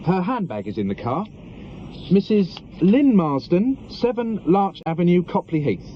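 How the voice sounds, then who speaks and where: An actor playing a deferential police constable giving factual reports in a radio drama. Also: male voice, sits high, sing-song, a little slow. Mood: dutiful. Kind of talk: naturalistic radio drama acting. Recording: dull lo-fi radio recording, noisy.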